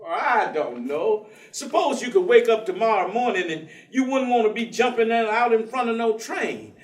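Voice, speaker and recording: A man's voice: extremely high pitch, animated, moderate pace. An actor playing an earnest, persistent, folksy working man in a staged dramatic reading, speaking colloquially. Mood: earnest, pleading. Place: theatre stage. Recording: echoey theatre, distant microphone.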